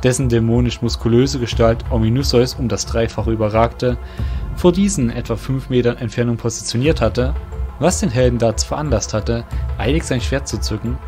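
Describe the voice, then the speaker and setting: A man's voice: medium pitch, darkish tone. A man narrating a fantasy audio drama in a scripted storytelling style. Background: faint music.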